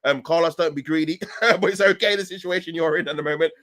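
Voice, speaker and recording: Fast-talking London man, animated football streamer. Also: on the high side. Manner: animated, slangy, emphatic. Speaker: football fan channel livestream host. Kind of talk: casual live chat, reading and answering viewer comments.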